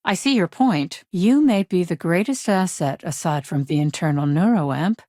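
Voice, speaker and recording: Woman playing low-voiced, blunt corporate legal chief. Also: lively intonation, moderate pace, warm tone, dry recording, lightly acted. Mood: assertive. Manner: direct, businesslike, dry. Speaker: head of a corporate legal department. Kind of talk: video game dialogue.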